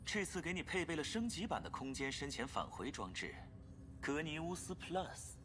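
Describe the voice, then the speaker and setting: An actor playing an urbane, scheming leader and scientist in a video game, speaking formally and with theatrical self-assurance. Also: male voice, on the high side, very animated, moderate pace, slight background noise. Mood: self-assured, formal. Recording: loud music behind the voice. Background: game music.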